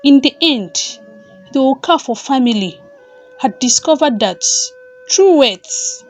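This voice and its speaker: A high-voiced woman narrates a folktale at a slow, deliberate pace. She reads as a storyteller, pausing between phrases, with music playing softly behind her. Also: animated. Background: background music.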